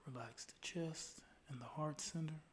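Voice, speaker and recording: A man leading a guided meditation in a calm, soothing, unhurried way, with soft, breathy phrase endings. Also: a little high, a little hiss or hum.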